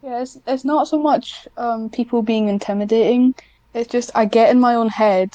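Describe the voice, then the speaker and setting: Hesitant, chatty woman with an even pitch. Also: normal speed, no room sound. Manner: hesitant, self-deprecating, chatty. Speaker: podcast guest, writer. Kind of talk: casual one-on-one interview chat.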